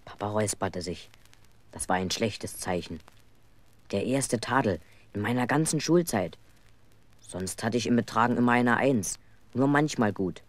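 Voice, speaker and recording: A man's voice: on the low side, animated, a little slow, long pauses. An actor playing an earnest, aggrieved schoolboy who tells his own story in a 1970s German children's radio play. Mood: brooding.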